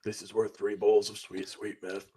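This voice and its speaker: A man around thirty co-hosting a casual watch-party chat, playful and quick to laugh, with dark jokes dropped in. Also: lowish voice, flat delivery, slightly rough. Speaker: co-host.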